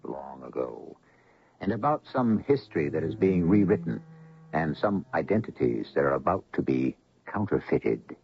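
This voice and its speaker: An actor playing a wry, brooding radio mystery host, narrating in a deliberate, literary, theatrical way. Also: male voice, a bass voice, very animated, gravelly, exaggerated voice. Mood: solemn. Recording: dull vintage radio recording, music underneath.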